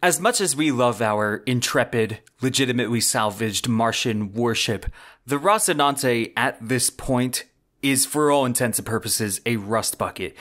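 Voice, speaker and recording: Man, mid-pitched voice, podcast host, scripted book commentary, dramatic narrative phrasing, emphatic. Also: a bit bright. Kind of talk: scripted solo podcast commentary on science fiction books.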